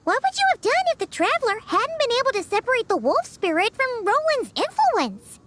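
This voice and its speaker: A woman voicing a tiny, cheeky, childlike female game companion with a squeaky voice and a teasing, exaggerated delivery. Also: big pitch swings, a little fast, fairly bright tone, exaggerated voice. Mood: teasing, curious. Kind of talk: video game voice acting.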